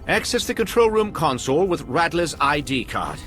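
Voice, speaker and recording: A man playing an excitable tech expert who guides a squad in a video game, chatty and reactive, with music behind him. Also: on the high side, normal speed. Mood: brisk, focused. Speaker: mission guide over comms.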